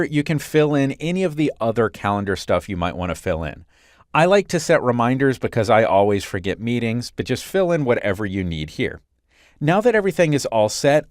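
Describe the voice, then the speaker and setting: A man working as an instructor, narrating a software screencast in a clear, step-by-step explanatory way. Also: mid-range voice, no echo, clean studio sound.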